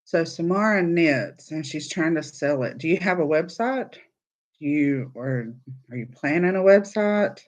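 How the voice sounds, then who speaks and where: A man's voice: a little high, animated, a little slow. A man from the South, casually chatting with viewers and reading their comments aloud while hosting a crochet live stream. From born in Texas, raised in Alabama. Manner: chatty and easygoing, laughs easily. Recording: slightly muffled, dull stream audio.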